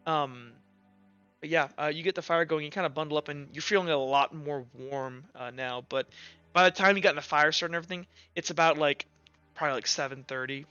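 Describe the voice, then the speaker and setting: A man running a tabletop roleplaying game, improvising narration in a halting, rambling way as he thinks aloud. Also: a little high, sharp tone, smooth, no room sound. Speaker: game master. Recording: dull lo-fi audio, music underneath. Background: music.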